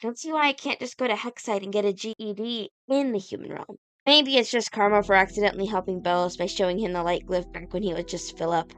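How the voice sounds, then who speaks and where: A woman's voice: medium pitch, fairly even intonation, quick pace, dry recording, natural voice. A woman playing an earnest, guilt-ridden teenage girl in a cartoon comic dub, speaking in a sincere, dramatic way. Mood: remorseful.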